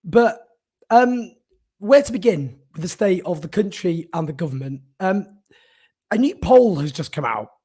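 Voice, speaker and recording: A hoarse man hosts a casual political talk show, emphatic and indignant, with ums and repeated words. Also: sits high, expressive, unhurried, darkish tone. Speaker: political commentator and show host. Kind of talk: casual political monologue to camera.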